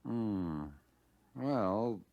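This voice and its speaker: A man's voice: on the low side, animated, very slow, dull audio, full character voice. A man playing an agreeable, curious American lunch counter customer in a scripted 1960 training film, musing as he goes.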